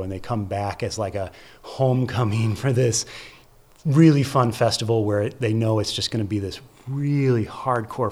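A man with an American accent giving conversational, explanatory interview answers as a theater producer, stressing points with short repeated phrases. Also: lowish voice. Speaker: theater festival producer and curator, interview guest.

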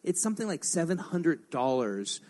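A man with a somewhat high voice speaks as a regular on a radio discussion program. He is opinionated and emphatic, building his argument one step after another. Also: big pitch swings, normal speed, a little mellow, soft background music.

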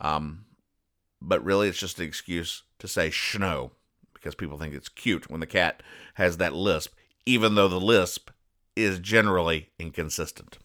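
A man hosting a podcast, explaining jokes in a mock-serious, deadpan and over-explaining way. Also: low-pitched, normal speed. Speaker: podcast host.

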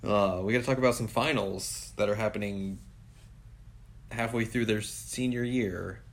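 A man's voice: on the low side, fairly even intonation, normal speed, creaky. A man co-hosting a podcast, casually recapping an anime episode and laughing often. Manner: laid-back, laughs often, slips into quoted voices. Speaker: podcast co-host.

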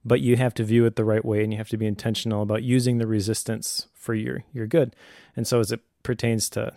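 A middle-aged man talking conversationally as a host, giving earnest, encouraging advice in a measured way. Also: lowish voice, level tone, creaky, warm tone, dry recording, studio quality. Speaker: podcast host, homeschooling father.

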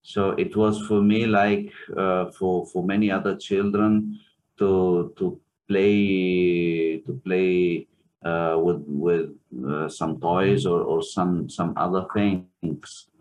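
A man with an Italian accent, a pianist and music teacher, answering interview questions thoughtfully with many pauses and restarts. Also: low voice, little pitch movement, darkish tone, a little hiss or hum, low-quality recording. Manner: hesitant, many fillers and restarts.

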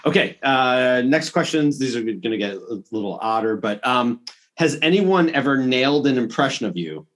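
A man hosting a casual interview, asking questions and telling a story with relaxed hesitations and restarts. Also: medium pitch, moderate pace. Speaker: interviewer host.

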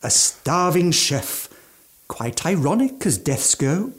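An actor playing an eccentric, aging gourmand mountaineer with ghoulish relish and fussy, old-fashioned genteel speech in a radio drama. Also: male voice, a little high, sing-song, slow. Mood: wistful relish. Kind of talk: theatrical radio drama monologue.

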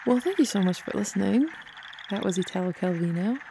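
Gravelly-voiced woman telling a story. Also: medium pitch, animated, noisy background. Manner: thoughtful and unhurried, with small restarts. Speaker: podcast host and songwriter. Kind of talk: reflective storytelling.

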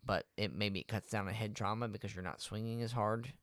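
A man with a low voice chats casually as a podcast co-host. His phrasing is laid-back and slangy, with easy swearing. He is recorded dry, with no audible room. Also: fairly even intonation, a little fast, fairly bright tone, dry recording. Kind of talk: casual conversational podcast chat.

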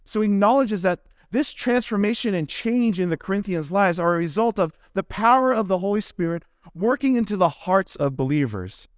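A man preaching an expository sermon in an earnest, teacherly way, phrasing deliberately and posing rhetorical questions. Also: sits high, animated, no echo. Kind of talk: expository sermon delivered from prepared notes.

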